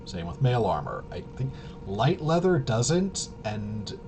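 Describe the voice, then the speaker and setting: A man casually explaining game rules unscripted, halting and rambling as he thinks aloud. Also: big pitch swings, a little mellow. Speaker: tabletop RPG reviewer and explainer. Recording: clearly noisy with low rumble.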